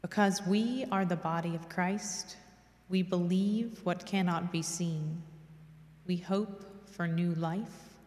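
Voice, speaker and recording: Slow, reverent woman in echoey church. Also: lowish voice, a little mellow. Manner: measured, reverent. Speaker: reader at a church devotional service. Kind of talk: solemn devotional reading. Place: large church, indoors. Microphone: gooseneck lectern microphone.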